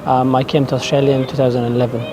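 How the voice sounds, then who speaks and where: A man's voice: medium pitch, little pitch movement, very fast, background noise. A man, giving a documentary interview outdoors in a park, hesitant with many restarts. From Afghanistan. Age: 20s. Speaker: interviewee, business student.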